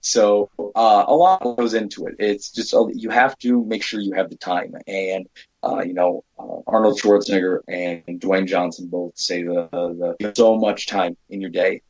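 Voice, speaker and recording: A man chatting casually as an interview guest about his work as an independent filmmaker, halting and often interrupting himself. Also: sits low, steady tone, darkish tone, no room sound. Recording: dull lo-fi audio.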